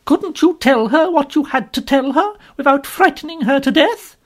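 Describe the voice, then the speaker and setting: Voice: big pitch swings, normal speed, gravelly, breathy edge, exaggerated voice. A reader voicing a fierce, strong, red-haired woman who bawls and scolds indignantly. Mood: indignant scolding. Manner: shrill indignant bawling. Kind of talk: audiobook character voice.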